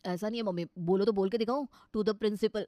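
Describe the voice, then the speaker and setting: An outspoken young son, acted out by a woman in a cartoon moral tale. He talks very quickly, with blunt sarcasm, in a dry close recording. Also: medium pitch, mellow, no echo, lightly acted. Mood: sarcastic.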